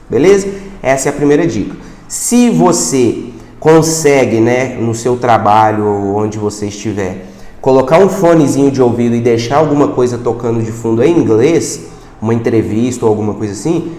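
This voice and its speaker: A man's voice: mid-range voice, darkish tone. A man giving a casual video lesson, talking conversationally and often repeating words while he finds his phrasing. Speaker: language teacher. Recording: echoey room with long reverb.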